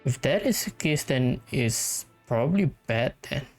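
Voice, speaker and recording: A man's voice: mid-range voice, expressive, unhurried, soft background music. A man giving casual playthrough commentary on a game, muttering offhand reactions. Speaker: gamer commenting on gameplay.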